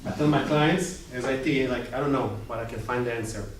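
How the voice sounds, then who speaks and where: A man's voice: mid-range voice, brisk, slight background noise. A man with an Israeli accent giving an informal, joking talk as a guest speaker at a coworking space. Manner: informal and joking, short chatty asides. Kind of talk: casual presentation to a small audience. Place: coworking space event room. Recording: echoey room, mic at a distance.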